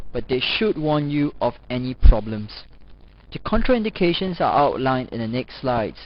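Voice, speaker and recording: Male lecturer for scripted teaching narration. Measured, formal read-aloud delivery, medium pitch, slightly dull lo-fi sound. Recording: dull lo-fi voice-over recording.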